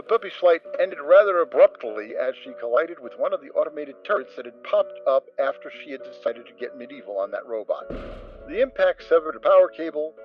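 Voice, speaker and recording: A man narrating a dramatic reading of a story, steady storytelling with occasional stumbles. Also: on the high side. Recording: dull lo-fi amateur mic with soft music.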